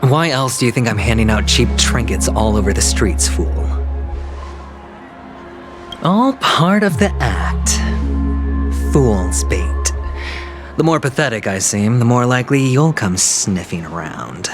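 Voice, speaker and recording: A bold young hero with a defiant, sardonic edge, taking his time over each line. His pitch moves freely, and game music plays clearly behind him. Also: expressive, unhurried, pauses often. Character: blond young male hero, bold and scheming. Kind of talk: video game voice acting.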